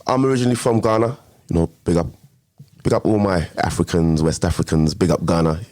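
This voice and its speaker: Deep-voiced London man, close dry sound. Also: fairly even intonation, brisk, darkish tone, dry recording. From London, England. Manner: slangy, informal. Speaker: podcast guest, music producer. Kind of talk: casual interview chat.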